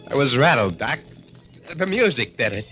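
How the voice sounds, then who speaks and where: A man's voice: mid-range voice, big pitch swings, moderate pace, dull audio, lightly acted. An actor playing a jittery male crook in an old radio drama, narrating his story in tough, slangy talk. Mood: rattled. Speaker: narrator and lead character. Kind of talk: 1940s radio acting. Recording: old radio recording with music.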